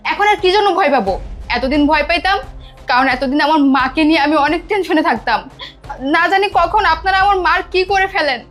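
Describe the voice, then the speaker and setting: A woman's voice: sits high, fairly bright tone. A woman playing a young daughter who is defiant and grieving, and who speaks in an emotional, pleading way.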